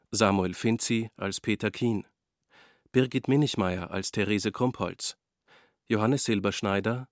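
A man's voice: on the low side, dry recording, clean studio sound. A man reading scripted radio-play credits as a formal, factual announcer.

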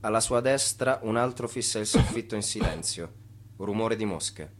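An actor as the sober narrator of a radio play, reading in a measured, detached, declarative way. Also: male voice, fairly low pitch, noisy background, lightly acted. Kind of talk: scripted radio drama narration.

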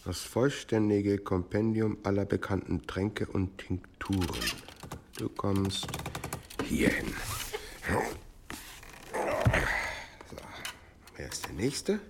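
An actor playing a fussy, bookish monk librarian in an audio drama, hesitant, apologetic and evasive. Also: male voice, on the low side, takes it slowly, vocal fry, noisy background. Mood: absorbed, muttering. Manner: muttering to himself, hesitant, apologetic. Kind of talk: German audio drama acting.

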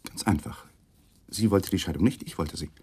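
A man's voice: low-pitched, normal speed, breathy edge. A man acting in a 1980s German radio crime play as a defensive, uneasy husband who gives hesitant, guarded answers to police. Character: defensive, uneasy husband under police questioning, awkward lawyer. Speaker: actor in a radio crime drama.

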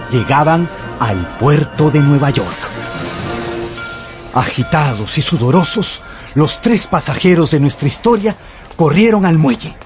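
Animated male radio drama narrator. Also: a little high. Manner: theatrical storytelling. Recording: music under the voice.

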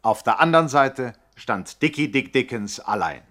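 A man's voice: medium pitch, lively intonation, normal speed, fairly bright tone. A man narrating a scripted radio play in a declamatory, mock-epic manner.

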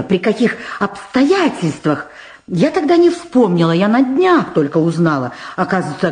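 A woman's voice: mid-range voice, darkish tone, roomy sound, lightly acted. An actress playing a nervous, talkative middle-aged woman witness in a radio play, hesitant, rambling and evasive. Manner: hesitant, rambling, stammering under pressure. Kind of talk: radio play dramatic acting.

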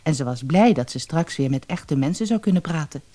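Very animated woman telling a fairy tale. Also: low-pitched, fairly quick, noisy background. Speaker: storyteller. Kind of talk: expressive fairy-tale narration.

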